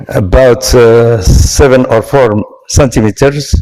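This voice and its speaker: A man from Morocco with a Moroccan accent, giving a medical lecture at an online conference in a halting way, pausing often. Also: medium pitch, steady tone, warm tone, low-quality recording. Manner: halting, with frequent pauses and hesitations. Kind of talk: medical presentation.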